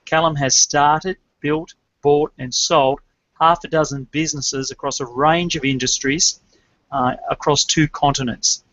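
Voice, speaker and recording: Brief: male podcast host from Australia, fairly high voice, upbeat and polished, dull remote-call sound. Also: fairly even intonation, measured pace, fairly bright tone, dry recording. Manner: upbeat, scripted-sounding introductions. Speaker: podcast host and business coach. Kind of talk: podcast interview hosting. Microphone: remote call, dull lo-fi and dry. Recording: dull dry online call audio.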